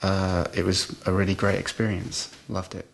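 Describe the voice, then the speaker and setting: A man telling a personal anecdote off the cuff in a hesitant, halting way. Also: low voice, little pitch movement, gravelly. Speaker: musician in a band, interviewee.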